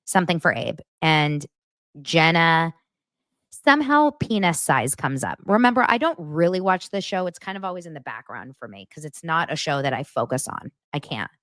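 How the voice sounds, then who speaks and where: A woman chatting as a podcast guest with an animated, storytelling manner. Her voice sits a little low and sounds close and dry, with no room around it. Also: moderate pace, no echo. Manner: animated storyteller who acts out quotes. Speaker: podcast guest and YouTube show host. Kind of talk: casual gossip chat.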